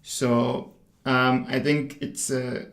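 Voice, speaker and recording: A young man from Zambia talks casually as a podcast guest, stumbling through fillers and repeated words. His voice sits at a middle pitch. The recording carries a noticeable room echo. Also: level tone, very slow, fairly bright tone. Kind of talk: casual conversation. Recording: echoey room.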